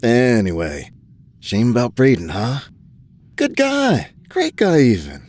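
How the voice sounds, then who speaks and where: An actor playing a glib, chummy news boss in an audio drama, gushing and chatty. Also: male voice, medium pitch, wildly swooping pitch, takes it slowly, darkish tone, exaggerated voice.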